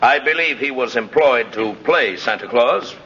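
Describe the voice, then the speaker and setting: An actor playing a dry, formal, middle-aged courtroom judge in a 1950s radio drama. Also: male voice, medium pitch, lively intonation, normal speed, pushed and bright. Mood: formal, measured. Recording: dull, noisy vintage radio recording.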